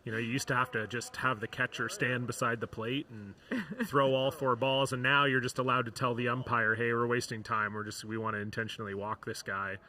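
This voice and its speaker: A man doing relaxed, chatty play-by-play commentary for a curling broadcast. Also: mid-range voice, a little fast. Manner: relaxed, chatty, reads sponsor lists. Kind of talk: sports commentary.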